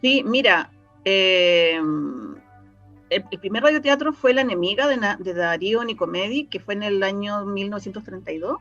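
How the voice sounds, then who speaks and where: A woman's voice: mid-range voice, moderate pace, a bit bright. A Chilean woman answering interview questions conversationally, hesitant, searching for words and often repeating herself. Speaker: interview guest, scriptwriter and radio drama researcher. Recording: dull, lo-fi sound with faint music. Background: faint music.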